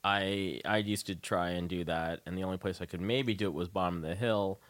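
A man's voice: low voice, fairly even intonation, brisk, fairly bright tone, no room sound. A man hosting a radio interview, talking casually and playfully and often chiming in. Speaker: radio show host, interviewer.

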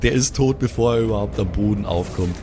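A man's voice: lowish voice, fairly quick, dark tone. Bavarian-accented man narrating, some background noise. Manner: colloquial, vividly descriptive. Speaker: game master. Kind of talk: improvised narration of a tabletop game.

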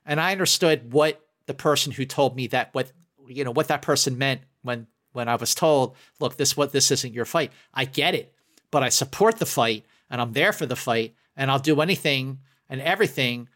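A man talking casually as a guest film critic, halting and emphatic, often repeating his words. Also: medium pitch, a bit bright. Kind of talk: casual conversational discussion.

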